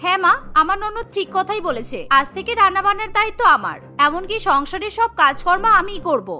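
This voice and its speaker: A woman's voice: very high-pitched, big pitch swings, a little fast, bright, forceful tone, faint music behind, heavily acted. A woman voicing a haughty, possessive young daughter-in-law in a cartoon. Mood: dutiful.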